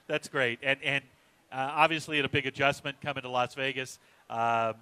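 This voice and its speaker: A man's voice: mid-range voice, fairly even intonation, normal speed, pushed and bright, clean tone. A man hosting a live sports radio coaches show from a hotel casino restaurant, affable and chatty. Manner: affable, reads live ad copy.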